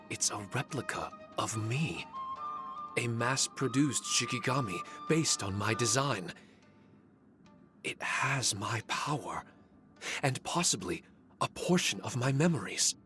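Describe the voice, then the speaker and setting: A man voicing a solemn, soft-spoken magical spirit servant in a video game, speaking in a grave, measured, hesitant way. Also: mid-range voice, expressive, over music. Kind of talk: animated video game voice acting.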